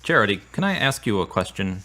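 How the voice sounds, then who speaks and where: Low-voiced, earnest male friend, acted by a man. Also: sing-song, a little fast, sharp tone. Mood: concerned. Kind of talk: radio drama acting.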